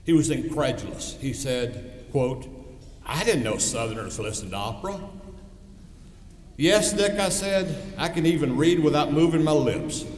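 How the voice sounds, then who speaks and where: A man's voice: medium pitch, a little hiss or hum. A man in his sixties with a pronounced East Texas accent gives a commencement speech, telling personal anecdotes in a folksy, wry storytelling manner. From East Texas, United States. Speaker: commencement speaker. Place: large hall, speaking at a ceremony. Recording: echoey hall with long reverb.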